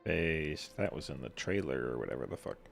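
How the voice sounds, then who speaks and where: Low, creaky male game streamer. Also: lively intonation, fairly quick. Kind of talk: casual muttered reactions during a playthrough. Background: faint game music.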